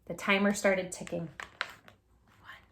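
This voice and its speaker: Bright, high-voiced woman reading to children. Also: normal speed, a little hiss or hum. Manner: expressive, encouraging, emphatic, checks in with "right?". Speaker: storybook reader, channel host. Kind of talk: children's read-aloud with asides to young listeners.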